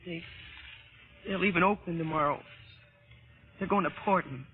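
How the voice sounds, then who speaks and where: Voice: mid-range voice, normal speed, lightly acted. A performer playing an earnest, loyal, anxious young son, pleading hesitantly in an old-time radio drama. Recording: tinny old radio broadcast, music behind.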